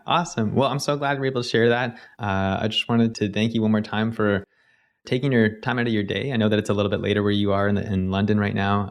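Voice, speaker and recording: A man's voice: fairly low pitch, smooth. A man hosting a podcast, upbeat and appreciative as he thanks his guest and closes the show. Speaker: podcast host. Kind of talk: interview host.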